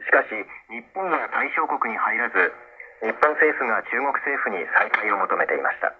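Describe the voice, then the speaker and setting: A man's voice: fairly low pitch, animated, quick pace, slight background noise. A man reading scripted news as an announcer on shortwave radio. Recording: dull shortwave radio sound.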